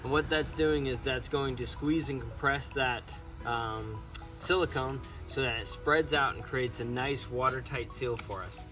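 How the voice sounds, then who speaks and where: A man hosting a casual building vlog, explaining his outdoor job site work step by step in a relaxed, upbeat way. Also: mid-range voice, unhurried, music in the background. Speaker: pond builder, show host.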